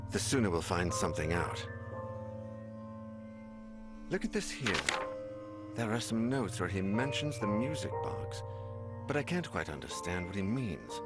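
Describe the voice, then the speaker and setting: An actor playing a weary, troubled man investigating a horror mystery in a video game, speaking gravely. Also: fairly low pitch. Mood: focused. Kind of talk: dramatic video game narration and dialogue. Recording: loud music behind the voice.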